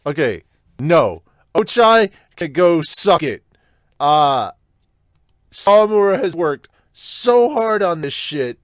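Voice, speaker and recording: A man co-hosting a casual manga reaction podcast, blunt and excitable, given to swearing and reading comments aloud. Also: on the high side, slow, dry recording, studio quality. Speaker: podcast co-host reviewing manga.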